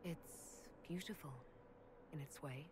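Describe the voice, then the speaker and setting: Composed heroic leader, lowish voice. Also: female voice, slow pace, background noise. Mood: curious, composed. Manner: composed, direct. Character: resolute adult female Inquisitor, commanding heroic leader. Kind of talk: video game cutscene acting.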